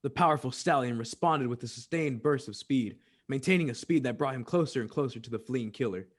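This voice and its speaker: A man's voice: medium pitch, a little fast, natural voice. A man narrating an old-time western radio drama in a dramatic, scripted storytelling style.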